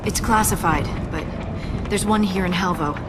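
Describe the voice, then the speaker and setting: An actress playing an earnest, bold young military cadet in a video game cutscene. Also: female voice, lowish voice, normal speed, heavy background noise, natural voice. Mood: serious.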